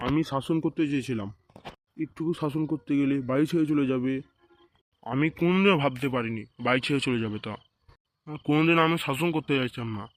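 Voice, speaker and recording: A man's voice: mid-range voice, fairly quick, slight background noise, close to an everyday voice. A man voicing a stern but consoling village father in a cartoon, pleading earnestly. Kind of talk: amateur comic cartoon dubbing.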